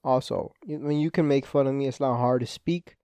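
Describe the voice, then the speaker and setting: A young man whose was Spanish talks through a solo podcast episode in a loose, rambling way. He swears a lot and leans on "like" as filler. His voice is smooth, mellow and dark, and it is recorded dry and close. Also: medium pitch, steady tone, fairly quick, no room sound. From Spanish-speaking home. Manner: rambling, profane, self-deprecating, frequent "like" fillers. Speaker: podcast host. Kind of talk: casual solo podcast monologue.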